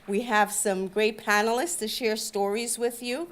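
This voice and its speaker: A woman's voice: a little high, steady tone, measured pace. Upbeat woman opening an event. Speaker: event host. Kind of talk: opening remarks.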